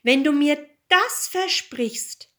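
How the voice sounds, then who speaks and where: A woman's voice: high voice, animated, takes it slowly, sharp tone, pitched above the performer's own voice, heavily acted. A woman voicing a pushy, demanding, pleading male frog in a fairy tale. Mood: insistent. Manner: insistent, demanding.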